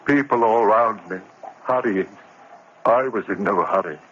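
A man's voice: medium pitch, expressive, a little slow, a bit bright. An actor playing a tormented male college lecturer losing his mind, in an intense 1940s radio drama delivery. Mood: haunted. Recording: muffled, faintly hissy old radio recording.

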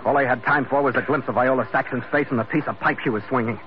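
An actor playing a tough, world-weary investigator in an old-time radio noir, with clipped, dry, wisecracking delivery. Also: male voice, medium pitch, fairly even intonation, fast, a little hiss or hum, close to an everyday voice. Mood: hardboiled narrating. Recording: muffled vintage radio recording, music behind.

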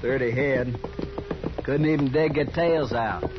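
Hard-bitten trail boss male actor, mid-pitched, gruff and folksy; old radio western, muffled and noisy. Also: a little slow, natural voice. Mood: grim. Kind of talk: 1950s radio western acting.

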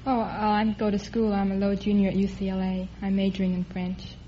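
A woman's voice: medium pitch, monotone, a little fast. A nineteen-year-old woman with an American accent, giving short answers as a quiz show contestant. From Los Angeles, United States. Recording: dull, noisy old radio recording, audience behind.

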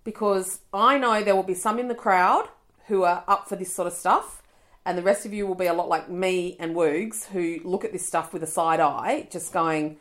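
A woman's voice: lowish voice, normal speed, a bit bright. A middle-aged woman, co-hosting a podcast in blunt, playful chat with plenty of swearing. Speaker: podcast co-host. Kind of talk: casual chat.

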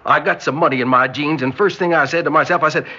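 An actor playing a smooth-talking, scheming young man in a radio drama, with folksy, drawn-out phrasing. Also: on the high side, bright, forceful tone, exaggerated voice. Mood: boastful, cheerful. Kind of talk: vintage-style radio drama acting. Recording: dull lo-fi radio recording, faint noise.

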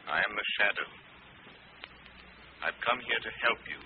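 Mysterious male avenger, acted out by a man, low-pitched, ominous, formal, commanding, old radio serial, tinny and hissy. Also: moderate pace, lightly acted. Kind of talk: 1940s radio drama acting. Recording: tinny, noisy vintage radio recording.

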